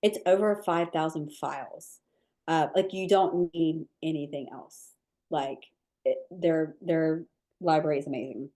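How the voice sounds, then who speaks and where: A woman with a low voice, a cookie decorating teacher answering viewer questions in a live webinar, chatty and relaxed with many ums and likes. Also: measured pace.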